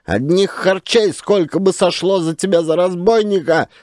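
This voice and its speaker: A man voicing a blustering, exasperated village elder who scolds in a drawn-out rustic harangue, in a dramatised audiobook. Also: sits high, a little mellow, no echo, clean studio sound, pitched above the performer's own voice, natural voice. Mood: indignant, scolding.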